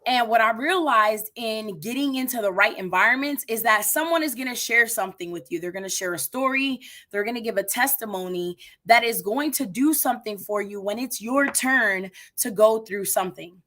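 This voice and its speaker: A woman in her thirties hosting an energetic motivational live stream, talking straight to her viewers, her voice a little raspy today. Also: fairly high pitch, moderate pace, few pauses. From United States. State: slightly raspy voice. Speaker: livestream host.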